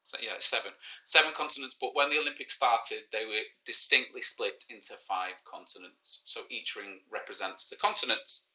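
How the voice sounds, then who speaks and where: A man's voice: lowish voice, normal speed, hardly any pauses, bright, forceful tone, poor audio. A man in his forties with a Manchester accent, a teacher hosting a live online quiz in a casual, joking, self-mocking way. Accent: Mancunian. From Manchester, England. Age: 40s. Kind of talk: casual livestreamed lesson.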